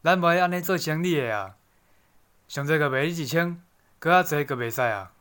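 A young man acts a shrewd market boss in an amateur radio drama, bargaining in a folksy Taiwanese accent. The voice is fairly high for a man, very bright and clean. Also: animated, a little fast, smooth. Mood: haggling. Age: teenager. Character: shrewd market merchant haggling in folksy dialect.

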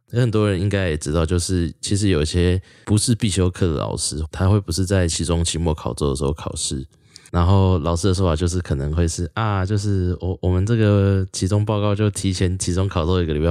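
A young man with a Taiwanese accent and a very low voice hosts a solo podcast. He talks informally, wandering along in a chatty way like a student catching listeners up. The sound is dry, with hardly any room to it. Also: mellow, dry recording. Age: early 20s. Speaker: podcast host, university student. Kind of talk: casual solo podcast chat.

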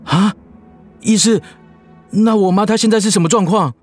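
Man acting an anxious, apologetic son, highish voice. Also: moderate pace, darkish tone, faint music behind. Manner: worried, deferential. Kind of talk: radio drama acting.

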